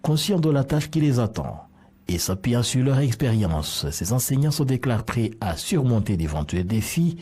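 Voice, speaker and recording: A man reading a scripted French-language news report as a reporter, in a formal, measured way. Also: mid-range voice, big pitch swings, mellow, slightly rough.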